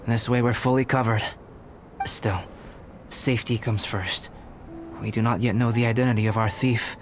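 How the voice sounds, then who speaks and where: A man voicing a composed, polite young scholar-adventurer in a video game, measured and articulate. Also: medium pitch, steady tone, noisy background, natural voice. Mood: calm, careful. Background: background music.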